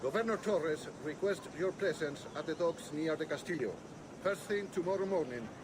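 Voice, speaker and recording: A man playing a higher-voiced formal messenger. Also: normal speed, lightly acted. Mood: formal, dutiful. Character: formal male messenger delivering a summons. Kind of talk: video game acting.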